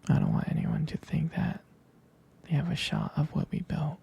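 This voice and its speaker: A man playing a tender, possessive young boyfriend in an intimate ASMR roleplay, speaking in a husky, halting, gravelly whisper. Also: a little high, huge pitch swings, heavily acted. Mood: jealous, intense. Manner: slow, halting, softly reassuring.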